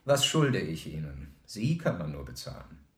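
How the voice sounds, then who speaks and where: An actor playing an older, well-to-do gentleman in a German radio play, courteous, formal and coolly probing. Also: lowish voice, lively intonation, normal speed, lightly acted. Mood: stern, disdainful.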